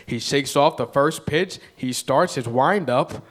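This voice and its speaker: A man's voice: mid-range voice, unhurried, close to an everyday voice. A man reading the part of a cocky, bossy teenage boy at a live table read. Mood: mock announcer. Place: live venue stage.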